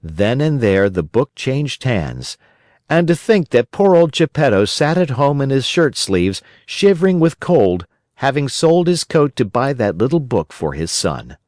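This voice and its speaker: A man narrating a children's storybook in a gentle, expressive storytelling manner. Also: medium pitch, a little slow, no echo, studio quality. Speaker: audiobook narrator.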